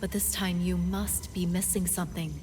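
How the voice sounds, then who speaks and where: A woman playing a shrewd, self-assured strategist in a video game, persuading in a confident, deliberate way. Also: lowish voice, flat delivery, darkish tone, a little hiss or hum, lightly acted. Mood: calm, persuasive. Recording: prominent music behind the voice.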